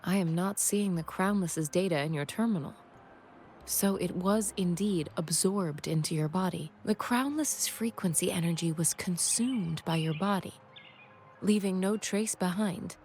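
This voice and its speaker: A woman voicing a calm, analytical young scientist and doctor in a video game, speaking in a measured, clinical way. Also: mid-range voice, slight background noise, close to an everyday voice. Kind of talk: English video game voice acting.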